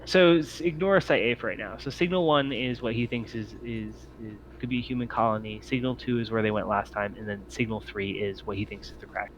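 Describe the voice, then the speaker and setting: Man, game master, low pitch, tabletop narration, halting delivery, faint background noise. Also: fairly even intonation, dull audio.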